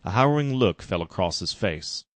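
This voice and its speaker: A man's voice: low-pitched, animated, moderate pace, dry recording. A man giving a scripted narration of a parody story. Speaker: reader.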